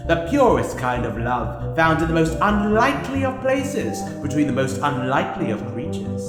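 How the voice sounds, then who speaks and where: A man playing a sinister, grandiose storyteller of dark tales in an audio drama, with a theatrical, drawn-out, florid delivery. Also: fairly high pitch, very animated. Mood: grandiose, inviting. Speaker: narrator and host of an anthology audio series. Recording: long hall reverb under loud music.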